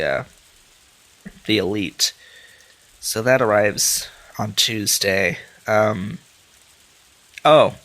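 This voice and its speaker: A man's voice: fairly low pitch, pushed and bright, rough recording. A man with a stuffy nose from a cold, rambling through casual gameplay commentary with many filler sounds. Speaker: let's play host.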